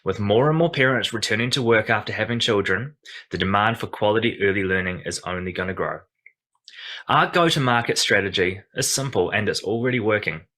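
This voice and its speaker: A man giving a polished, confident startup pitch as founder. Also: brisk, fairly bright tone.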